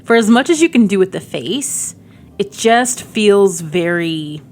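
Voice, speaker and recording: A woman's voice: mid-range voice, expressive, measured pace, a little hiss or hum. A woman giving casual game demo commentary, chatty and informal, often thinking aloud as she goes. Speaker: gaming video creator.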